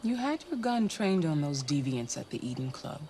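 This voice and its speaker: Brief: measured, authoritative handler, slightly low, soft and probing. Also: female voice, sing-song, heavy background noise. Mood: calm, probing. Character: calm, authoritative female handler. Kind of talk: video game cutscene acting. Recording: game score music behind. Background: music.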